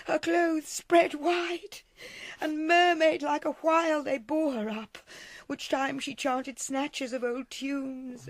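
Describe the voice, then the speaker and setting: A woman's voice: high voice, vocal fry, no echo, heavily acted. An actress playing a mournful, grieving queen in a Shakespearean radio drama, solemn and lyrical. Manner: solemn, measured, lyrical delivery.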